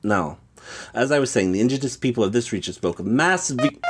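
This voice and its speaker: Man playing a pompous, husky English teacher. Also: mid-range voice, animated, quick pace. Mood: stern, annoyed. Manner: pompous, lecturing, pedantic diction. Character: pompous, easily irritated male English teacher. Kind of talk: audio drama acting.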